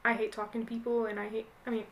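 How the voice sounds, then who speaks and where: A woman's voice: a little high, level tone, very fast. A young American woman in her late teens, chatting casually as a podcast guest in a hesitant, rambling way with lots of "like". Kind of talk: casual chat.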